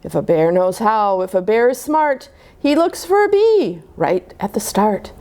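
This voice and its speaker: A woman's voice: medium pitch, big pitch swings, measured pace, a little mellow. A woman voicing a boastful, confident father bear in a children's storybook, making pompous, emphatic pronouncements. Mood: confident, instructive.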